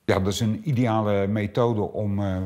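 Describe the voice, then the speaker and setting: A man's voice: on the low side, steady tone, normal speed, dark tone. A middle-aged man who owns a clinic, explaining treatments with many hesitant filler sounds. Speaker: clinic owner. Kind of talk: explanatory interview answers. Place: small treatment room indoors.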